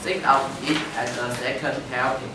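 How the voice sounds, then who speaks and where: A man with a mid-pitched voice narrates a storybook script. He sounds far off in a very echoey, noisy space. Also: steady tone, normal speed. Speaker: reader's theater narrator. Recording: very echoey, distant, noisy room.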